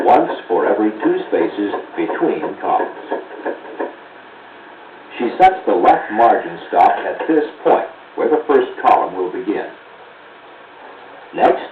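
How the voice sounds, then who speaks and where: A man's voice: lowish voice, distant mic. American male narrator for a 1970s classroom film, didactic and precise, heard through a narrow, roomy old soundtrack. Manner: measured, didactic, clearly enunciated. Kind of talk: scripted instructional narration. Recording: muffled, noisy 1970s 16mm film soundtrack.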